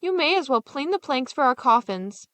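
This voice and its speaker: A woman voicing a hard, selfish stepmother from a fairy tale, bossy, sharp-tongued and scornful. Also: sits high, big pitch swings, moderate pace, no room sound, voice pushed up. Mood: scolding.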